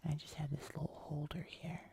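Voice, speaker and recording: A woman voicing a gentle, nervous fuzzy monster in a breathy, hesitant ASMR whisper. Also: low-pitched, flat delivery, a little hiss or hum. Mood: careful, mildly nervous. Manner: slow, soft, hesitant, with small pauses. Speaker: ASMR host.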